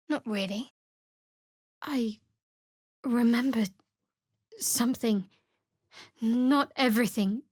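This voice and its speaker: An actress playing a guarded, sardonic young female cleric of a dark goddess in a fantasy video game, speaking in a measured, dryly wry way. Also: fairly high pitch, no room sound, clean studio sound. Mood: wry, businesslike, then reflective, solemn. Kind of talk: video game voice lines, dramatic fantasy acting.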